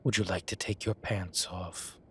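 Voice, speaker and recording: A man playing an ancient, bookish incubus demon professor in an audio roleplay, dry and exasperated, with halting, sighing delivery. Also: low-pitched, fairly even intonation, sharp tone, slight background noise. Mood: wry, weary. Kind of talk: audio roleplay acting, intimate one-sided dialogue.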